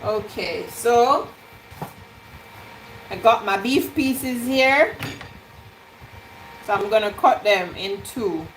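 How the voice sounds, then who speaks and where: Woman, teasing, slow unhurried talk, home kitchen, faint background hum. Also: medium pitch, expressive, rough recording. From Jamaican heritage. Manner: playful, teasing, joking with chat. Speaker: cooking livestream host. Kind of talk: casual live cooking chat, reading out viewer comments.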